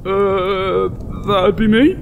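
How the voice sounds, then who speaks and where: A man voicing an oafish, grumpy monkey man henchman who talks haltingly in a comedic fantasy audio drama. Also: high-pitched, steady tone, gravelly, slight background noise, heavily acted. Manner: bumbling, halting.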